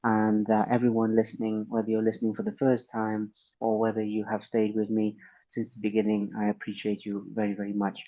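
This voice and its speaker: A man's voice: lowish voice, completely flat delivery, brisk, lo-fi sound. A man hosting an interview podcast, polite and effusive, with a slight sore throat. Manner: polite, effusive, thanks guests warmly. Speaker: podcast host. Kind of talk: friendly interview chat.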